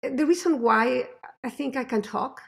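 A woman with a thick non-native accent, a mother telling animated stories as an interview guest. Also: high-pitched, unhurried, vocal fry. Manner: animated, emphatic storytelling. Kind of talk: conversational interview.

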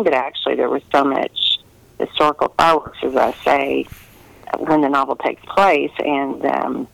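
Middle aged american lady author chatting in an interview, gravelly fry in her voice, earnest, very dry sound. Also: deep voice, dry recording, low-quality recording. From United States. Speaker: historical romance novelist being interviewed. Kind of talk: conversational interview.

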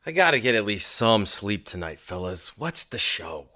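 An actor playing a tired, grumbling, skeptical radio scriptwriter with a dry, sardonic way of talking, in a period audio drama. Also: male voice, on the low side, very animated, moderate pace, sharp tone.

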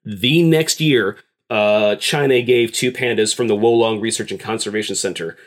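Casual, halting male podcast co-host. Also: on the low side. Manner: informal, halting with repeated words. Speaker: podcast co-host presenting facts. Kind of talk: casual chat presenting notes.

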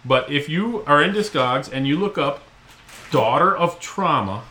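A middle-aged man hosts a casual podcast from a home room, his bright voice swinging lively up and down. He rambles with frequent restarts and pokes fun at himself, with a faint hiss behind him. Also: a little high, unhurried. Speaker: podcast host. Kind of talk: casual chat.

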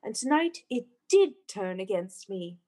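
A woman's voice: medium pitch, sing-song, measured pace, warm tone, husky. An actress playing an obsessive, unhinged young woman in a melodramatic radio play, with a theatrical, breathless, intense manner. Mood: haunted, dread. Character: obsessive, unhinged young woman convinced of her dark power. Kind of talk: melodramatic old-time radio play acting.

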